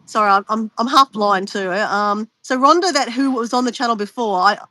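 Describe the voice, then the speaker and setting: A woman's voice: fairly high pitch, normal speed, bright, forceful tone. Stuffy-nosed woman, chatty guest. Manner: chatty, rambling with restarts. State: stuffy nose, sniffly. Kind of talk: casual chat on a video call.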